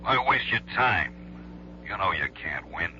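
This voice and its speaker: An actor playing a smug, menacing union boss in a radio drama, condescending and coolly threatening. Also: male voice, sits low, animated, a little slow, bright, forceful tone, heavily acted. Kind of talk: vintage radio drama acting. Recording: dull old radio recording with hum.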